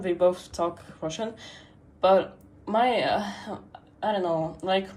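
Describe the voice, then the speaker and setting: Slow, hesitant teenage girl streaming. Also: a little high, a little mellow, low-quality recording. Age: teens. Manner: hesitant, full of fillers and restarts. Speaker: livestreamer. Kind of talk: rambling casual stream chat.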